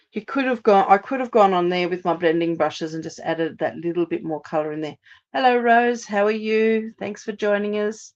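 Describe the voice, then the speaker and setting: A woman from Australia, a little hoarse after laryngitis, giving a chatty step-by-step live card-making demonstration at her craft table. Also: medium pitch, lo-fi sound. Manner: chatty, steady step-by-step instructions.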